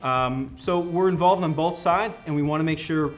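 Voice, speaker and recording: A man's voice: a little high, normal speed. A man working as a company product representative, explaining a product enthusiastically in a conversational interview. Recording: music playing behind the voice.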